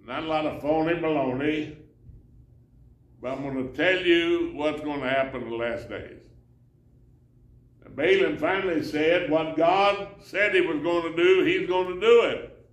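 A man's voice: fairly high pitch, measured pace. An older man preaching a sermon while seated in a church sanctuary, reading scripture and gesturing with his hands. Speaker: pastor. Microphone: far camera. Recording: roomy church echo, from far off.

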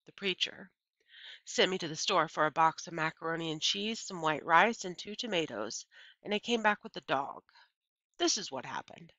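Bright-voiced woman reading a children's novel, slightly muffled. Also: on the low side, no room sound. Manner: animated, deliberate storytelling with emphatic phrasing. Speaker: teacher reading aloud. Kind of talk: expressive read-aloud of a children's novel.

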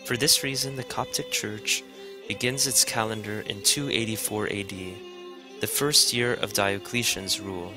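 A man reading a scripted documentary narration in a measured, even way. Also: fairly low pitch. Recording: music bed underneath.

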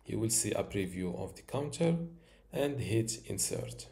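A man in his thirties walking through a software tutorial step by step, with occasional fillers, recorded at a home desk. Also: on the low side, animated, vocal fry. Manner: slow, step-by-step instructions with fillers. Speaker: tutorial host.

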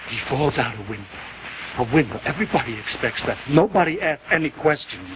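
An actor in a 1950s television drama playing a scheming, impatient husband who insistently repeats himself to a frightened partner. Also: male voice, mid-range voice, expressive, fairly quick, natural voice. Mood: urgent, persuading. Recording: noisy muffled 1950s TV broadcast.

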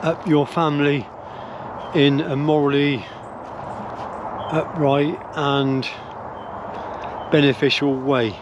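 An older man rambles thoughtfully while walking outdoors, stopping and restarting his sentences. His voice sits a little high for a man. Clear outdoor noise from wind and distant traffic runs behind him. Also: steady tone, slow, pauses often, dull audio. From England. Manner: musing, hesitant, many restarts and fillers. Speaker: vlogger. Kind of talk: rambling walk-and-talk opinion vlog. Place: woodland path outdoors while walking dogs. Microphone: phone or camera held close at arm's length.

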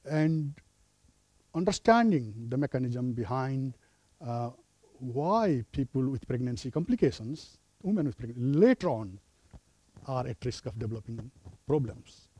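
A middle-aged man from Nepal giving an academic lecture as a professor of obstetrics, speaking in a measured, hesitant way with fillers. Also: medium pitch, animated, mellow, husky, no room sound. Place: lecture room with a projector.

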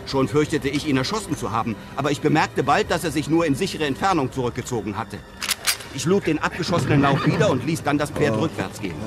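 A man playing a brave, composed European traveller who narrates his desert adventure in measured, literary sentences. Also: fairly high pitch, animated, music in the background, close to an everyday voice. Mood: composed, suspenseful. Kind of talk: scripted first-person radio drama narration.

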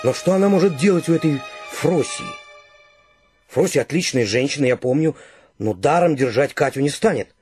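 An actor playing a stern, indignant grown son in a radio drama, curt, reproachful and sardonic. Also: male voice, fairly high pitch, lively intonation, moderate pace, darkish tone, soft background music, close to an everyday voice.

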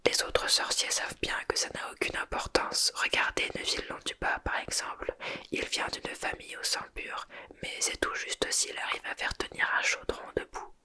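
A reader whispering a young teenage boy wizard who is ill and hoarse, in a storybook. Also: moderate pace, bright, forceful tone. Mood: indignant.

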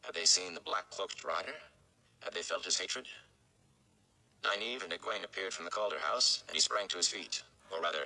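A man's voice: sits low, gravelly, bright, forceful tone, low-quality recording. A man in his thirties giving a dramatic audiobook-style narration of a fantasy novel. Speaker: read-along reader. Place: indoor room at home.

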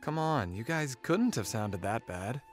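A man's voice: medium pitch, lively intonation, unhurried, soft background music. A man playing a rebellious, earnest young merman prince in an audio drama, defensive and pleading. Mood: apologetic.

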